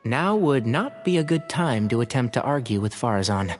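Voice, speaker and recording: A man's voice: mid-range voice, big pitch swings, moderate pace, close to an everyday voice. A man voicing an easygoing, deferential young scholar in a fantasy video game. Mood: wary. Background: background music.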